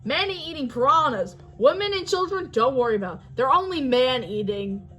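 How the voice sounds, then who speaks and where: A woman's voice: sits high, animated, moderate pace, fairly bright tone, faint music behind. A woman voicing a showy, cheerful male tour-boat skipper in a storybook, theatrical and full of puns. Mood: mock alarm. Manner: theatrical, punning announcer delivery.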